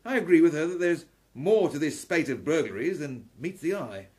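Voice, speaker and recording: An actor playing a thoughtful gentleman professor and amateur sleuth in an audio drama. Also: fairly high pitch, lively intonation, normal speed, lightly acted.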